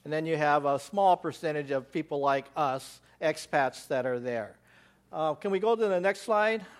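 An American missionary giving a church slide presentation, rambling with many fillers and restarts. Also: male voice, fairly high pitch, moderate pace. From United States.

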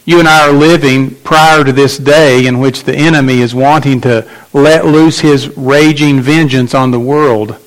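A man's voice: mid-range voice, fairly even intonation, a little slow, hardly any pauses, poor audio. A man, the pastor, making church announcements and introducing a guest speaker, quizzing the congregation on who speaks tonight. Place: church sanctuary.

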